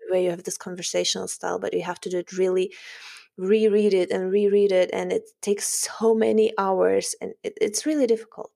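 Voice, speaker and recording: A woman's voice: mid-range voice, level tone, fairly quick, gravelly, mellow. A woman with a German accent, a novelist raised in a Russian-German family, talking in a casual podcast interview and laughing often. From Russian-German background, lives in Germany. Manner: thoughtful, laughs often, self-conscious. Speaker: author guest.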